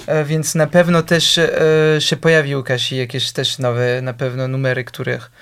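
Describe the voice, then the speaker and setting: A man's voice: mid-range voice, little pitch movement, moderate pace, fairly bright tone, smooth. A young man, a music producer and interview guest, speaking hesitantly and modestly with many fillers. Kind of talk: casual conversation.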